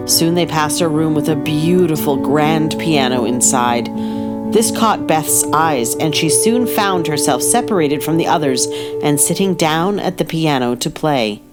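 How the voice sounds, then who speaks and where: A woman's voice: low voice, unhurried. A woman reading scripted storybook narration as the narrator of an audio play. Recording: prominent plucked guitar music behind. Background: plucked guitar music.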